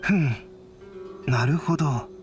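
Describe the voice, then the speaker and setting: A woman voicing a calm, analytical young man in an anime game, composed and measured. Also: low-pitched. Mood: calm reasoning. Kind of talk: Japanese anime game voice acting. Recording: background music behind voice.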